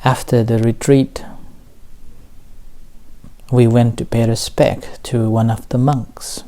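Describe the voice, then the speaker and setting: Very slow, mellow male meditation teacher. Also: medium pitch, flat delivery. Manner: slow, gentle, with long pauses between short phrases. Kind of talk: guided meditation dharma talk.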